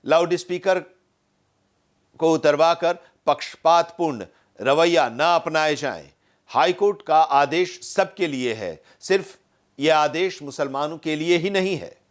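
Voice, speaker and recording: News reporter, male, slightly high voice, formal scripted read. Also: a little slow. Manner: steady formal news reading.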